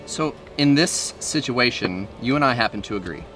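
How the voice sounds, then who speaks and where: The jokey guy at the backyard griddle, a lively, lightish man's voice talking you through dinner over background music. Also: mid-range voice, normal speed. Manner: joking, self-deprecating. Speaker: cooking show host. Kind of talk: casual banter while cooking. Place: outdoor covered patio griddle kitchen. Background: music, food sizzling.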